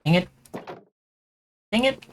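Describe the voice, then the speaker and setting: A woman from Germany with a German accent, chatting casually and rambling with self-mocking humor while she streams a game. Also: slow pace. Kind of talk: casual gaming chat.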